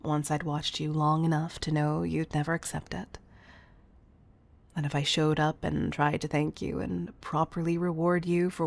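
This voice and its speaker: Woman voice-acting a obsessive rich female CEO, husky, low-key narrow pitch, intimate roleplay monologue, tender yet controlling. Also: exaggerated voice. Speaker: audio roleplay performer. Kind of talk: intimate audio roleplay monologue, partly whispered.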